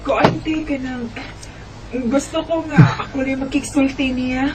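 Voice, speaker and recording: A woman's voice: high voice, fairly even intonation, measured pace, very noisy. A woman with a Cebuano Filipino accent playing an insistent young woman confronting an old lover in an amateur radio drama. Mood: hesitant.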